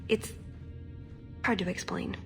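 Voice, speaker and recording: A woman's voice: slow, fairly bright tone, soft background music. A woman playing an earnest, idealistic young female elf agent in a fantasy video game, sincere and hesitant. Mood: reflective. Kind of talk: video game cutscene acting.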